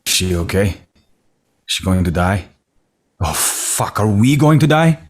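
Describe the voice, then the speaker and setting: A man's voice: sits low, animated, takes it slowly, exaggerated voice. A man reading a broken, panicky rancher in his fifties at a screenplay table read, cursing and despairing. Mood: panicked. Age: 50s.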